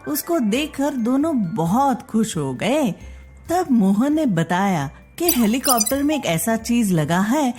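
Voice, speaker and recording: A woman reading a scripted story as a narrator, with expressive, deliberate delivery. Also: on the high side. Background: background music.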